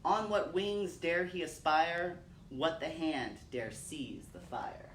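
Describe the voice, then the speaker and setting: A man's voice: fairly high pitch, slow, fairly bright tone. A man hosting a storytime, reading poems aloud and talking to children. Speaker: storytime host. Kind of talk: poetry reading and talk for children. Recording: roomy, distant mic, faint noise.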